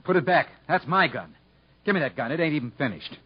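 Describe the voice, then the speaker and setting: Muffled 1950s radio drama, intense convict tinkerer, rural American accent, husky, ungrammatical, earnest. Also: male voice, on the high side, expressive, fairly quick. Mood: angry, alarmed. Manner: folksy, ungrammatical, earnest speech. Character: stubborn, self-taught convict gunsmith, plain-spoken and intense.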